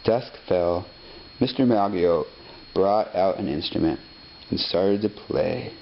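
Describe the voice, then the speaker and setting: A man narrating a children's story expressively, reading deliberately phrase by phrase. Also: deep voice, creaky. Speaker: storybook reader. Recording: dull lo-fi sound, faint noise.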